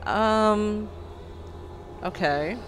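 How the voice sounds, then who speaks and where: Slow, hoarse let's-play host. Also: male voice, sits high, background noise. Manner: wry, sarcastic asides. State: hoarse after being sick. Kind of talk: casual game commentary. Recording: faint game music behind.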